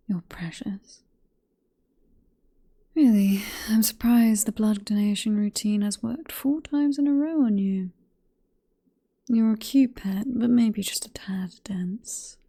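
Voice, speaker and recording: Woman as a dark, whispering British vampire professor. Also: medium pitch. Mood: sly, possessive. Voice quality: soft, breathy whisper. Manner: coaxing, teasing, unhurried murmur. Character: possessive, teasing female vampire professor, coaxing and sly. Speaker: ASMR roleplay performer. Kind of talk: whispered ASMR roleplay. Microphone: close ASMR microphone.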